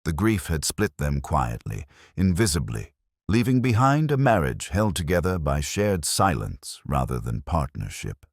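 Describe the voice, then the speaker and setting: A man reading a scripted first-person detective story in a measured, solemn, literary manner. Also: very deep voice, big pitch swings, slightly rough, no echo, studio quality. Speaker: narrator.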